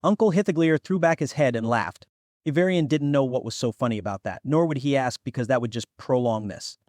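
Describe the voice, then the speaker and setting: A man narrates a fantasy audiobook from a script. His voice sits at a medium pitch, and the recording is close and dry. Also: fairly quick, a little mellow, no echo.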